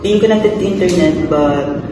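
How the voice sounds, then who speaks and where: Young filipino guy, 18, earnest, a bit high, far off in a roomy hall, music behind. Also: moderate pace, noisy background. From Philippines. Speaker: student. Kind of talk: reaction video commentary. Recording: distant, echoey hall with music.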